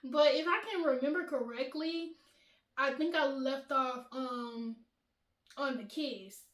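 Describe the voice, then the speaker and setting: A woman hosting her channel, telling a storytime in casual chat with a high-pitched voice, animated and laughing often. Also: slow, echoey room. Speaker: vlogger, channel host.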